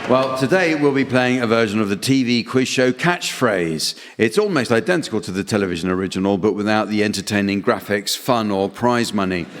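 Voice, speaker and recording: A man's voice: lowish voice, fairly quick, hardly any pauses, some chatter behind, a little hiss or hum. A man chairing a comedy panel game, delivering scripted comic hosting on stage. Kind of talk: scripted comic hosting before a theatre audience. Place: theatre stage with audience. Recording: live theatre reverb, audience sound.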